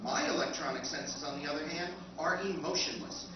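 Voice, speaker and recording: A man's voice: a little high, fairly quick, crowd noise, lightly acted. A man playing a stubborn, militaristic lifeboat computer, formal and declamatory, in a live recreation of 1950s radio science fiction. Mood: vigilant. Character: solemn, deluded, militaristic female lifeboat computer, motherly and stubborn. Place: convention performance room, picked up from afar. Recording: echoey convention hall, distant muffled noisy recording.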